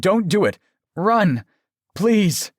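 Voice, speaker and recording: Man as an anxious young man, high pleading voice. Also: very animated, very slow, dry recording, clean studio sound, heavily acted. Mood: anguished begging. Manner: pleading, desperate, whimpering.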